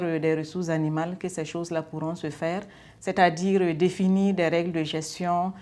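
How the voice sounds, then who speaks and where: Lowish-voiced Burkinabe woman explaining. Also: dark tone. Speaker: interviewee. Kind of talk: explanatory interview talk.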